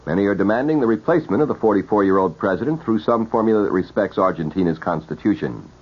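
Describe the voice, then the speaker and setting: Husky male newscaster on muffled vintage radio. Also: on the low side, animated. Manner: formal, measured announcer delivery. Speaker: radio news anchor. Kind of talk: 1970s scripted radio newscast.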